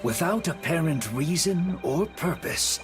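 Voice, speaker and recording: A man narrating a video game story in a measured, dramatic storyteller style. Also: a little high, animated. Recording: music bed under the voice. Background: music.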